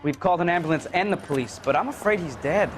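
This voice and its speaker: An actor playing a polite, shaken bystander in a crime drama game, speaking hesitantly. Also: male voice, fairly high pitch, animated, fast, natural voice. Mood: regretful. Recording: noisy outdoor street ambience.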